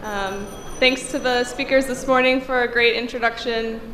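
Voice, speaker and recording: A somewhat high-pitched woman in her thirties speaks from a podium microphone, her voice a little congested from a cold. She talks conversationally and fills pauses with frequent "um"s. The echoey conference hall carries a faint room hum behind her. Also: fairly even intonation, a bit bright. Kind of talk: technical conference talk.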